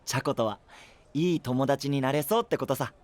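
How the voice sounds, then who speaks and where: A man's voice: mid-range voice, a little fast, darkish tone, lightly acted. A man voicing a cheerful, adventurous young male knight with an enthusiastic, easygoing boyish manner in an anime-style voice drama. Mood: admiring.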